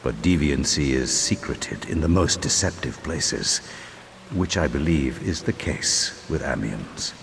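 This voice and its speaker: A man's voice: a bass voice, expressive, measured pace, creaky, heavily acted. A man playing a stern, pious medieval inquisitor with pompous, menacing delivery in a dramatic video game cutscene. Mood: coolly menacing. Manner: pompous, declamatory. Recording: cavernous hall reverb with storm noise.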